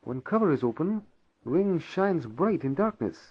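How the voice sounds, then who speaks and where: An actor playing a loyal, careful valet in a radio drama, speaking clipped, deferential broken English. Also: male voice, fairly high pitch, big pitch swings, measured pace, slightly rough, heavily acted. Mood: earnest explaining. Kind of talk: old-time radio drama acting. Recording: dull lo-fi vintage radio recording.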